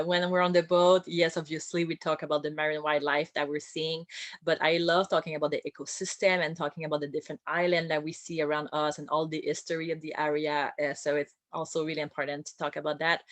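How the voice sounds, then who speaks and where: A woman's voice: deep voice, dry recording. Woman with a strong French accent. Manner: friendly, laughs lightly between phrases, many filler pauses. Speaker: boat captain and whale-watching skipper. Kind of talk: informal career presentation.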